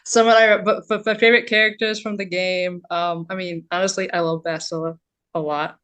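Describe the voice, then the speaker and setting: Woman guest chatting on a podcast, lowish voice, playful and super informal. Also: level tone, a little fast, fairly bright tone. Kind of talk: casual chat.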